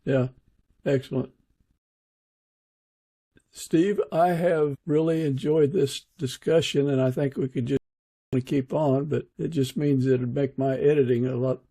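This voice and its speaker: A man's voice: mid-range voice, steady tone, unhurried, long pauses, dark tone, no room sound. A man speaking informally and earnestly as the host of an interview podcast. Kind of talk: casual interview and informal prayer.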